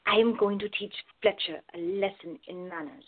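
A woman acting a tender, protective woman in love, quietly insecure, in a radio play. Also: medium pitch, moderate pace, narrow, tinny audio, lightly acted. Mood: protective, firm.

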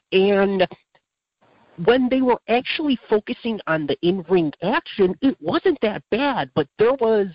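A man with a slightly rough voice, giving casual match opinions as a wrestling podcast co-host. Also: a little high, no echo, dull audio. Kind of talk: casual sports review chat.

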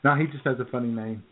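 American male co-host, phone-quality audio, loose comic storytelling with hesitations and throat-clearing. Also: mid-range voice. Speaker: radio show co-host. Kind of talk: casual comic chat, telling anecdotes. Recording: phone-like, narrow-band sound.

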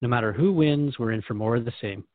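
A man's voice: medium pitch, fast, dry recording. A man hosting a radio conversation, engaged and reflective, asking questions and paraphrasing what he hears. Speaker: radio show host. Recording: dry, thin phone-like band.

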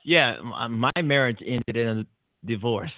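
A man's voice: medium pitch, wildly swooping pitch, slow pace, no echo. A man hosting a talk show, friendly and chatty, laughing easily and repeating his words. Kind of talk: casual interview hosting. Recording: dry, phone-like narrow sound.

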